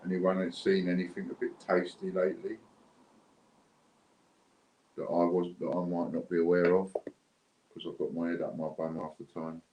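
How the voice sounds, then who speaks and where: A man's voice: low voice, completely flat delivery, normal speed, pauses often, darkish tone. A British man hosting a giveaway livestream in casual, unscripted, chatty and rambling talk. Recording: dull lo-fi, roomy echo, clear background noise.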